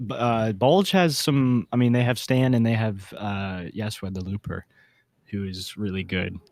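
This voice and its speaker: A man's voice: on the low side, steady tone, a little slow, darkish tone, dry recording, studio quality. A man co-hosting a podcast in casual, slangy chat, talking like an enthusiastic fan. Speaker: podcast co-host.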